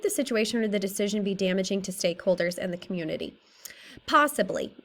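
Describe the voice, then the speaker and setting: A woman in her thirties with a slightly raspy, tired voice, methodically presenting a school case study for a class. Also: mid-range voice. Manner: steady, methodical, works through a list of questions. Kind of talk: reading a prepared class presentation.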